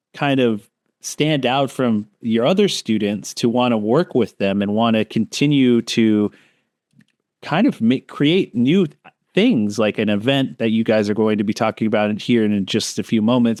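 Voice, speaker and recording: A man's voice: medium pitch, darkish tone, no room sound, clean studio sound. A man hosting a podcast interview, asking long, rambling questions. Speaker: podcast host. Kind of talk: casual interview. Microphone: remote call.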